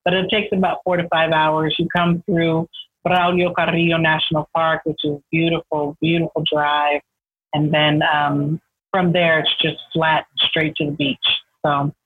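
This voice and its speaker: An upbeat woman joining the chat as a guest over a video call. Her voice comes through a tinny, dry line with a slightly husky edge. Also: low-pitched, level tone, a little slow, dry recording. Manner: upbeat, laughs often. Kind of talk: remote interview.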